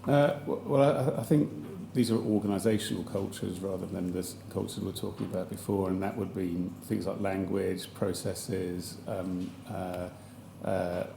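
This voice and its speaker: A man with a slightly croaky voice, giving hesitant formal testimony as a chief executive at a public hearing, with many fillers and restarts. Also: low voice, fairly even intonation, normal speed, warm tone, a little hiss or hum. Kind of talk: formal testimony under questioning. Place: large public hearing hall. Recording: echoey hall with faint ambience.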